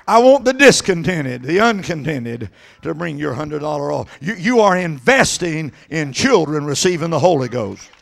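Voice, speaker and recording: Man, middle-aged, Pentecostal preacher, exhortative, wide swooping pitch, gravelly edge, impassioned delivery. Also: sing-song, unhurried. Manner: exhortative, swinging from conversational asides to shouting. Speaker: evangelist preaching. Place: church sanctuary stage at a pulpit. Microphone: handheld microphone.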